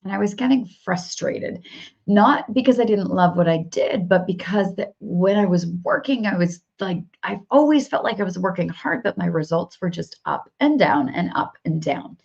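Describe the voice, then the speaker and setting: A woman business coach hosting a live coaching stream, her voice hoarse from cheering, upbeat and repeating phrases as she talks straight to viewers. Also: medium pitch, moderate pace, darkish tone. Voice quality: gravelly. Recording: dull lo-fi livestream audio.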